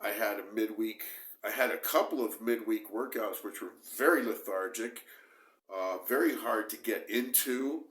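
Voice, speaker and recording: A middle-aged man vlogging to the camera about his training, hoarse from a cold and talking in emphatic, repetitive bursts. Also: lowish voice, moderate pace, a bit bright. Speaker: bodybuilding vlogger. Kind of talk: casual talk to camera. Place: small dim room indoors. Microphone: camera close at face, moving. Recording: roomy echo.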